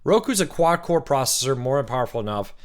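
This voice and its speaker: A man with a slightly rough, worn voice after a weekend of cheering, hosting a relaxed live-stream Q&A. He chats easily, greets viewers by name and works through their questions one by one. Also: mid-range voice, fast. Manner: chatty, reads out viewer comments and greets them by name.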